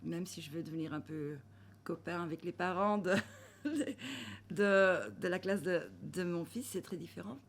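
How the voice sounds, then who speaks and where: Woman talking casually as a guest, a bit gravelly, laughs a lot while she looks for words. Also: on the low side, steady tone, normal speed. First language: Dutch. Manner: searching for French words, laughing often. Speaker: film director guest. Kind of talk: casual interview chat.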